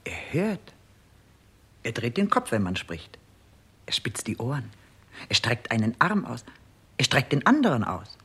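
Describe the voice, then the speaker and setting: An actor playing an attentive royal attendant in a 1960s German radio play, speaking in short declaratory reports. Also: male voice, medium pitch, wildly swooping pitch, a little slow, pauses often, sharp tone, husky, full character voice. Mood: watchful. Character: attentive royal attendant watching over the king.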